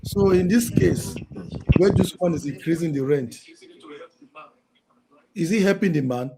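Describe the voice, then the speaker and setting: A man's voice: a little high, measured pace, creaky, very noisy. A man on a panel, pressing another speaker with pointed questions. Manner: probing, questioning. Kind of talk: panel debate.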